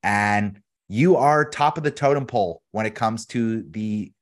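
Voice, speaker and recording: A man's voice: fairly low pitch, a little slow. A man hosting a podcast, chatting in a casual, upbeat and jokey way, full of energy. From United States. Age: adult, has a daughter. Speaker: podcast host.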